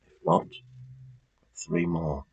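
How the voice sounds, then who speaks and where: A man's voice: deep voice, flat delivery, takes it slowly, gravelly, darkish tone, slightly rough. A British man presenting a quiz in a chatty, informal way, as the host reading out questions.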